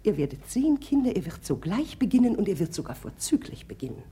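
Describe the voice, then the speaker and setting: A woman's voice: medium pitch, very animated, fast, mellow, close to an everyday voice. A woman playing a middle-aged, impulsive, commanding society mother in a radio drama, talking effusively and abruptly. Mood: insistent.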